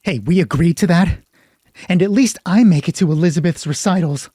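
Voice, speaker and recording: A man's voice: high voice, a little fast, mellow, breathy edge, dry recording, studio quality, pitched above the performer's own voice. A man playing an angry, exasperated husband in an audio drama, indignant and confrontational.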